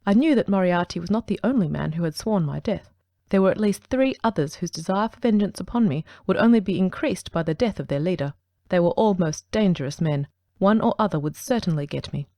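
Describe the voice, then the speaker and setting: Brief: woman as a cool, exacting male sleuth, low and gravelly, measured delivery, audiobook. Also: animated, warm tone, no echo. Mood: recounting. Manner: measured, dry, composed storytelling. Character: calm, precise male detective, middle-aged. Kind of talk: dramatic audiobook reading.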